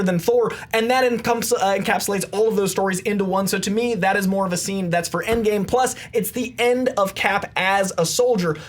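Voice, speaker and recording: Male contestant in a pop-culture debate, notably high voice, emphatic, persuasive, punchy delivery of listed points.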